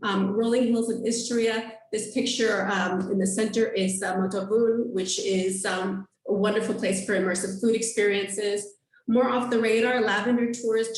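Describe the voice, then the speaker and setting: A woman's voice: medium pitch, flat delivery, normal speed, echoey room, recorded from a distance, poor audio. A woman giving an upbeat travel presentation on a video call, with frequent ums and uhs. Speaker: tour operator presenter. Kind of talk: webinar sales presentation.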